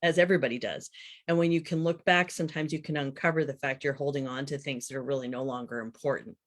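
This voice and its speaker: A woman's voice: rumbling low, fairly even intonation, fast. A woman in her fifties speaking as a guest author, chatting casually and explaining things in an interview. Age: 50s.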